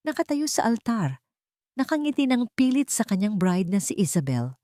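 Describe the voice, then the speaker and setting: Filipino lady storyteller, lowish voice, dramatic scripted narration, really dry recording. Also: darkish tone, no room sound.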